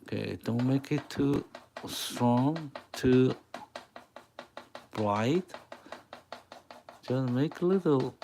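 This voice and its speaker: Man, Mandarin accent, native Mandarin speaker, slow halting English, gravelly voice, live drawing lesson. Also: mid-range voice, lively intonation, mellow, slight background noise. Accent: Mandarin Chinese. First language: Mandarin Chinese. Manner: halting, searching for English words. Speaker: art teacher.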